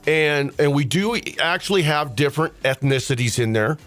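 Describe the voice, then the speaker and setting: A man's voice: on the high side, lively intonation, moderate pace, fairly bright tone. A young man from Texas hosting a casual comedy podcast, rambling and joking with lots of fillers. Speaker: podcast host, radio personality.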